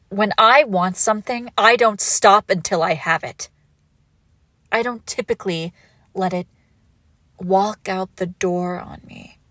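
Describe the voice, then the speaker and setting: A woman playing a seductive, menacing villain crime boss and wounded ex-lover in an intimate audio roleplay, commanding and breathy, often dropping into whispers. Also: mid-range voice, a bit bright, no room sound. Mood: possessive.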